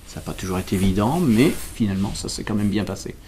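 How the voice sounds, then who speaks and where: Low-voiced man explaining, searching for words. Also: fast, dark tone, slight background noise. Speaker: local representative explaining a village school project. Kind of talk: explanatory interview answers.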